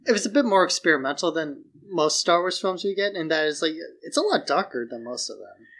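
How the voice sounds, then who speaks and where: Slightly higher-voiced man, casual podcast co-host. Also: animated, a little fast, fairly bright tone. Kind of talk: casual film discussion.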